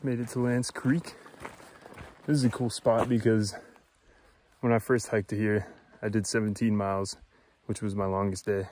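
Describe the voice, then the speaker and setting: A young man from Florida, chatting casually to his phone camera while hiking a forest trail, upbeat and filling pauses with "uh". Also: lowish voice, level tone, a little mellow. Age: young adult. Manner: upbeat, chatty, thinks aloud with fillers. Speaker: hiker vlogger. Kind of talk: casual vlog narration. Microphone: phone at arm's length. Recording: faint outdoor noise.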